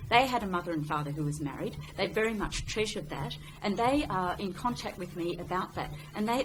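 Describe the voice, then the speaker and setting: A woman speaking on a public debate panel, arguing in a conversational, rephrasing way into an event microphone. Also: on the low side. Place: public meeting room. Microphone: event microphone, sometimes off-mic. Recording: noisy live room, uneven microphone level.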